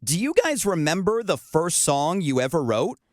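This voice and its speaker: A man from Canada hosting a radio interview, playful and quick to laugh. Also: a little high, no room sound, clean studio sound. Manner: animated, playful, laughs easily. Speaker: radio show host. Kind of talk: interview chat.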